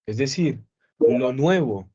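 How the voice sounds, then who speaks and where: A male psychology teacher giving an online class lecture, explaining slowly and often checking in with students. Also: medium pitch, expressive, dark tone, slightly rough, highs cut off.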